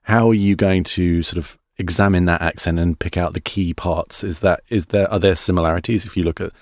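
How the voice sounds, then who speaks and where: A man's voice: deep voice, fairly even intonation, brisk, a little mellow, dry recording, clean studio sound. A man hosting a podcast, interviewing his guest with plenty of encouraging short replies. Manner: encouraging, frequent short affirmations and laughs. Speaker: podcast host.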